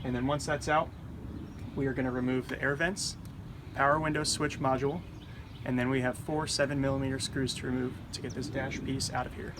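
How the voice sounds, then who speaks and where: A man's voice: mid-range voice, flat delivery, brisk, background noise. A man presenting a casual, hands-on installation tutorial, explaining each step in a plain-spoken way. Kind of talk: casual hands-on how-to instruction. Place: in or at a car, working on the dashboard.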